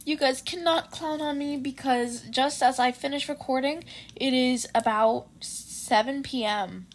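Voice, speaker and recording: A woman's voice: sits high, measured pace. A woman podfic reader narrating fan fiction and chatting informally about it in a slangy way.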